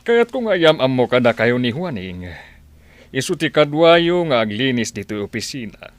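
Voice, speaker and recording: A man playing a genial, deliberate office boss in a comedy radio drama, drawling with hums and pauses. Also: medium pitch, very animated, no echo, natural voice.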